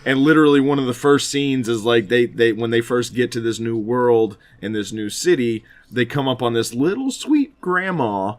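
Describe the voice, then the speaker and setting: Man, podcast co-host, average pitch, casual film chat, animated and opinionated, sweary. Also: normal speed, hardly any pauses. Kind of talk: casual chat about films.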